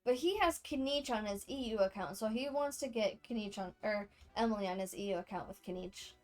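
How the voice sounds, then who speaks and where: A woman's voice: mid-range voice, fast, soft background music. A woman livestreamer giving casual, chatty gameplay commentary to her viewers, speaking off the cuff. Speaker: game livestreamer.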